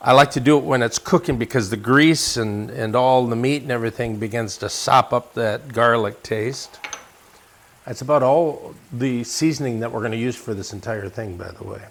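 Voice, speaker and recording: A man's voice: medium pitch, normal speed, creaky. A man from Wisconsin with an American accent, casually chatting as he hosts a cooking show in a folksy, encouraging way. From Wisconsin, United States. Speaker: host of a lifestyle and cooking show. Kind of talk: casual cooking demonstration and chat.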